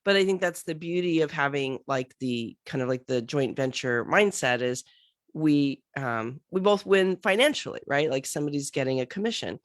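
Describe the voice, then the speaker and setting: A middle-aged woman with a cold, hoarse and a bit scratchy, chatting animatedly as a podcast guest with many fillers. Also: deep voice, moderate pace, no echo, clean studio sound. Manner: animated, thinks aloud with many fillers and restarts. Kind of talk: casual interview chat.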